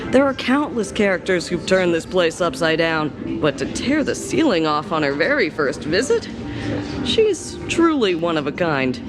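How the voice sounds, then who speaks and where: A woman's voice: fairly low pitch, sing-song, normal speed. A woman voicing a world-weary, sarcastic female doctor in an anime-style game, dryly telling her tales over music. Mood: wry, reminiscing. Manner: dry, sarcastic, storytelling. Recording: loud music behind the voice.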